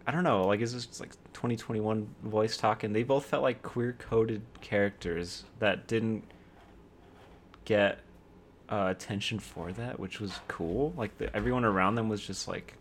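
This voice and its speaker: A man's voice: on the low side, measured pace, slight background noise. A man in casual movie-review chat, easygoing and curious. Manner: easygoing, curious, says bro.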